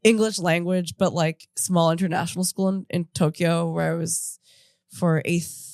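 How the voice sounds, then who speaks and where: A woman's voice: lowish voice, steady tone, moderate pace, a little mellow, no room sound, clean studio sound. A woman in her thirties who grew up in Japan, a comedian guest telling stories in a rambling, self-interrupting way with frequent laughs. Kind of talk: casual podcast conversation. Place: in-studio podcast.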